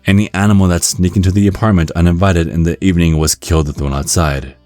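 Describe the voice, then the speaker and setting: A man reading a scripted ASMR guide in a measured way, with wry asides. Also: low-pitched, fairly even intonation, a little mellow, dry recording. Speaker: ASMR narrator and performer. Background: music.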